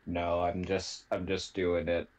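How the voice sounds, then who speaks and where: A man co-hosting a live stream with a deep voice, dropping dry, jokey asides. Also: monotone, unhurried, darkish tone. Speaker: stream co-host. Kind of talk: casual livestream chat.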